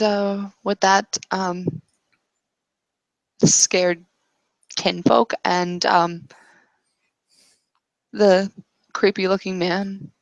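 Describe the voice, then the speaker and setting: A woman narrates her part of a tabletop roleplay in a halting, searching way. Her voice is slow and creaky, fairly low, and very dry on the recording. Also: dry recording, poor audio. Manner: hesitant, halting. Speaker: roleplay game player. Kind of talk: improvised third-person narration of a tabletop roleplay.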